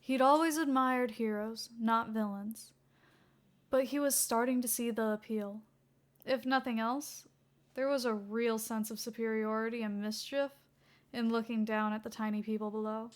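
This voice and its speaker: A woman's voice: on the high side, steady tone, normal speed. A woman reading a scripted story aloud as a fan fiction narrator.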